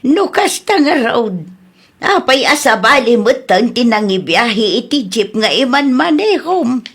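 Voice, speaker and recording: A woman's voice: fairly high pitch, sing-song, a little slow, slightly rough, heavily acted. A woman with an Ilocano accent playing a principled, scolding wife who frets about fairness in a comedy radio drama. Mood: concerned.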